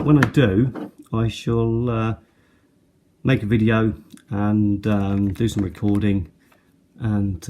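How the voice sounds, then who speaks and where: A middle-aged man giving a casual, unscripted product show-and-tell in a hesitant, halting way. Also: on the low side, dark tone. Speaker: product reviewer. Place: indoors at a tabletop. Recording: noticeable background noise, handling clicks.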